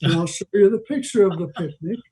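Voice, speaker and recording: An older man giving an informal history talk on a video call, rambling along with halting digressions. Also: high voice, dark tone, breathy edge. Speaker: club member giving a presentation. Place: video meeting from home.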